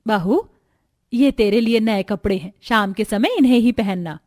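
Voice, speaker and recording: A woman voicing a kind, wealthy middle-aged mother-in-law in a cartoon story, speaking gently and with assurance. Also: fairly high pitch, unhurried, natural voice. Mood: kindly.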